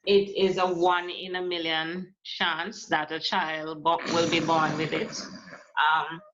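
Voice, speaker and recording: Jamaican-born woman guest, thirties, low-pitched, unhurried halting chat, dull lo-fi recording. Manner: soft-spoken, hesitant, many fillers. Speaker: musician, guest. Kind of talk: casual interview talk. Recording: lo-fi muffled audio.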